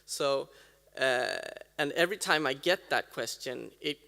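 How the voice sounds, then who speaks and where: A man's voice: mid-range voice, unhurried, gravelly, bright, forceful tone. A man from Sweden, speaking from the audience in a large hall, hesitant and full of ums and uhs. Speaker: audience member. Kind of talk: audience comment at a talk. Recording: reverberant hall sound.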